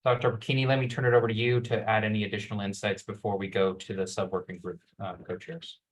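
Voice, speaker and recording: A man's voice: fairly low pitch, little pitch movement, fast, rough recording. A man speaking as a working group co-chair in an online meeting, earnest and listing points with frequent ums. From United States. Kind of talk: opening remarks in an online meeting.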